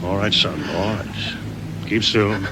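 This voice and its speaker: A man's voice: fairly low pitch, takes it slowly. A man playing a shrewd, commanding British police inspector in a radio crime drama, probing and drily authoritative. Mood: hushed, coaxing. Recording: faintly noisy old radio recording.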